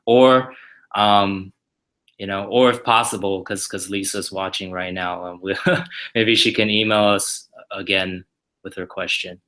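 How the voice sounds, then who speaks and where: A man on company staff answering viewer questions in a casual livestream from the office, halting and full of fillers and restarts. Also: deep voice, steady tone, pushed and bright. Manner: halting, many fillers and repeated starts. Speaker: company staff member hosting a Q&A.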